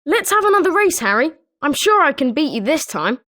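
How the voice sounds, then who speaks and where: A woman's voice: sits high, very animated, brisk, pushed and bright, full character voice. A woman with a British accent voicing an eager, sporting schoolboy wizard with chirpy, emphatic delivery in a video game. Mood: eager, challenging. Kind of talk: scripted video game character lines.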